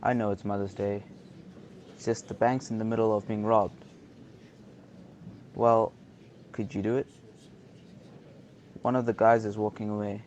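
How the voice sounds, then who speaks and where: A man's voice: fairly low pitch, level tone, measured pace, pauses often, darkish tone, dull audio, slight background noise, close to an everyday voice. A young man acting a chatty, flippant husband held hostage in an amateur radio drama. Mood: casual, sheepish. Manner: flippant, talkative, complaining. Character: cheeky, chatty bank hostage and harried husband. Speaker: student actor.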